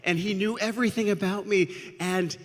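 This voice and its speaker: Man playing a haughty young woman, loud and reverberant. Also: mid-range voice, huge pitch swings, a little slow, heavily acted. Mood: alarmed, urgent. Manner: imperious, emphatic, declaring. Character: haughty, impatient young woman, proud would-be queen. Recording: long reverberant hall sound.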